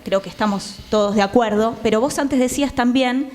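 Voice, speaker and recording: A woman's voice: a little high, brisk, darkish tone. A woman with an Argentine accent speaking as a panel guest in conversational style, searching for her words. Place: theatre, live panel. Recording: reverberant theatre hall.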